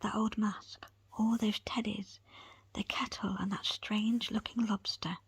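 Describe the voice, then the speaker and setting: A woman voicing a patient, placating foster mother in a whispered audiobook, gentle and hesitant. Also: mid-range voice, little pitch movement, unhurried, slightly rough, no room sound. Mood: coaxing. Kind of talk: whispered audiobook character acting.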